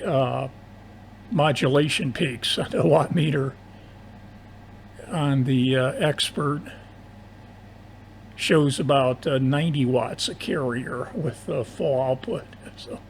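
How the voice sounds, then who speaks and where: An American man in a casual, technical ham radio conversation, explaining in a halting, rambling way over an AM radio transmission. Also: mid-range voice. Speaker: amateur radio operator. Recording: noisy AM shortwave radio transmission.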